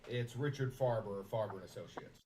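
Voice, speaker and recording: A man's voice: fairly low pitch, brisk, dark tone, far from the mic, a little hiss or hum, voice pushed down, lightly acted. A man playing a scheming, smug businessman who fakes concern with unctuous sincerity in a one-sided phone monologue. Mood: impatient, scornful. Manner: unctuous false sincerity, theatrical asides. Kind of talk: dramatic monologue, one side of a phone call.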